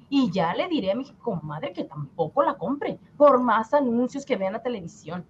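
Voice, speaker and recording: An actress plays a feisty, stubborn housewife in a radio drama. Her high voice swings emphatically, on a dull and slightly noisy recording. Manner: emphatic, colloquial.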